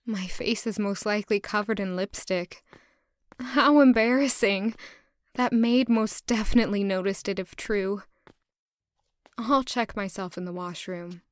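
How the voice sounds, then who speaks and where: A woman playing a gentle, self-doubting young castle maid in an audio drama, speaking softly and earnestly. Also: medium pitch, a little slow, no room sound, close to an everyday voice. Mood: pensive. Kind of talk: audio drama acting with intimate first-person narration.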